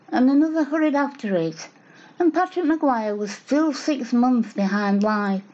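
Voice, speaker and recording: A woman's voice: on the high side, warm tone. A woman reading narrative poetry aloud as a recital. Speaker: poetry reader. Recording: dull, muffled lo-fi sound.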